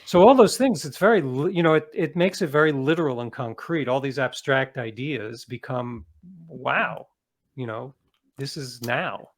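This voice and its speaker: A man's voice: mid-range voice, normal speed. A man speaking as an interviewed author, explaining ideas in a reflective, conversational way with small qualifying asides.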